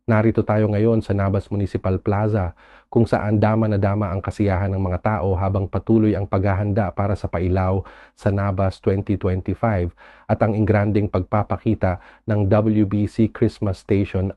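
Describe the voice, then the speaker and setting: A man's voice: low-pitched, no room sound, phone-quality sound. A man with a Filipino accent delivering a live TV news report as a field reporter. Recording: music behind the voice. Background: music.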